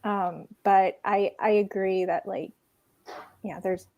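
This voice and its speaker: A woman's voice: medium pitch, steady tone, takes it slowly, darkish tone, highs cut off. A woman from Israel whose first language is Hebrew, speaking on a panel with frequent restarts and hesitations. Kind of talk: casual panel discussion.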